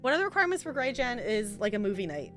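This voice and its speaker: A woman's voice: a little high, sing-song, very fast, fairly bright tone, faint music behind. A woman streaming a life simulation game with casual, rambling commentary, chatting to viewers and to the game's characters.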